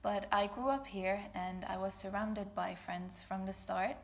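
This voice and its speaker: A young university student from Syria answering interview questions in a hesitant way. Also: female voice, mid-range voice, steady tone, a little slow, slight background noise. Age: young adult. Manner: hesitant, many "um" and "uh". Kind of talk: casual interview talk.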